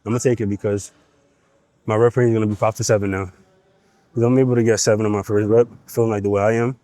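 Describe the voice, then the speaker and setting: A man's voice: fairly low pitch, steady tone, fast talker, mellow, no echo. A young man with a cold and a nasal voice, talking bluntly to the camera between sets in a gym. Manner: blunt, profane, pep-talking. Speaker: fitness vlogger. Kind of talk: casual gym vlog talk.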